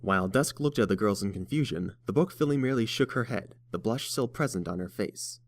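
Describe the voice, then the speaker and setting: A man's voice: on the low side, expressive, brisk, very noisy. A man reading scripted story narration as the narrator.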